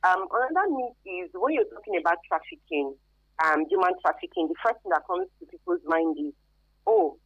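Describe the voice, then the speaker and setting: A woman's voice: medium pitch, very animated, moderate pace, gravelly, fairly bright tone, slightly rough, no echo. A woman with a Nigerian accent giving emphatic, conversational interview answers as a guest expert, over narrow phone-like radio sound. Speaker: guest expert, career advisor.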